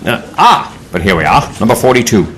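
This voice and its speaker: A man's voice: on the low side, sing-song, fairly quick, a bit bright. A man playing a genial, learned older leader of adventurers in an audio drama, speaking in an erudite manner. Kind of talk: audio drama acting and narration.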